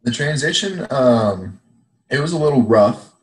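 A young man, a college football player, calmly recounting his story in a casual interview. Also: mid-range voice, a little slow, reverberant, poor audio. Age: young adult.

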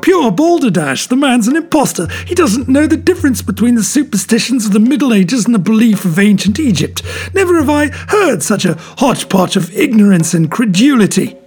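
Casting: male narrator as indignant, snorting old-school academic in a storybook, raised pitch, pompous and forceful. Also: very animated, fairly quick, few pauses, breathy edge, soft background music, heavily acted. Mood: furious contempt. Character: blustering, indignant male Egyptology scholar.

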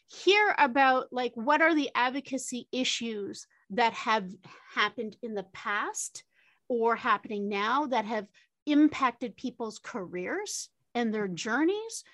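A woman's voice: fairly high pitch, a bit bright, no echo. A woman moderating a panel discussion, chatty, with many fillers and tag questions.

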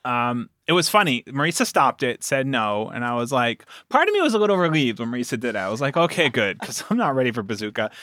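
A man's voice: medium pitch, sing-song, fast talker, few pauses, a bit bright, dry recording, clean studio sound. A man speaking as a producer and game master, telling stories casually as an interview guest. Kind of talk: casual animated storytelling.

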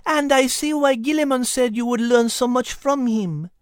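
Narrator as French female cat, high pitch, fond, knowing, storybook character, dry recording. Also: moderate pace, no echo, lightly acted.

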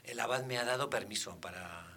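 A man's voice: fairly low pitch, a little fast, creaky, bright, forceful tone, slight background noise. A man playing a talkative, pious old Benedictine monk in comic radio theatre, rambling and hesitant. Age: older. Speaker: caller to a radio contest.

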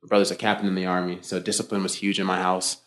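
A man's voice: low-pitched, rapid-fire. A young American man, a fitness coach, chatting as a guest in a casual interview and talking freely with loose fillers and restarts. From United States (born Boston, raised Florida). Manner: relaxed, talkative, many fillers and self-restarts.

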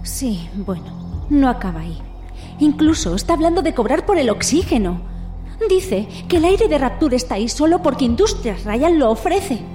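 Woman acting a concerned plant scientist, high soft-edged voice, hesitant, confiding, radio play. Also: sing-song, normal speed, soft background music. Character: worried, self-deprecating woman botanist confiding in a colleague. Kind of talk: audio drama dialogue.

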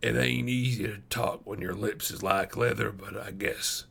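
A gaunt storybook frontiersman near death, played by a male reader, talks in a gravelly, low voice. He is gentle and worn out, halting over rustic, uneducated phrases. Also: steady tone, exaggerated voice. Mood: gentle, weary. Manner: halting, folksy, uneducated speech.

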